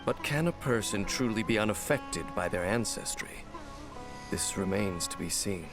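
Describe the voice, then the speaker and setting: Grave, guarded male wanderer for an anime game, played by a man: lowish, measured, formal, faint music behind. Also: lively intonation, lightly acted. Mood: solemn, cryptic.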